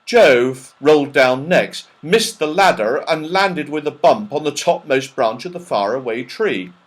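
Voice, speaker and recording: A man narrating a children's storybook in an expressive, dramatic, animated way. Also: fairly high pitch, a little slow. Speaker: audiobook narrator.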